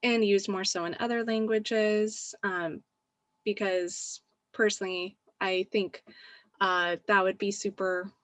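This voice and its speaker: A woman speaking casually in a group discussion, thoughtful and hesitant, pausing as she forms her suggestion. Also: medium pitch, steady tone, fairly bright tone, no room sound. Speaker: meeting participant.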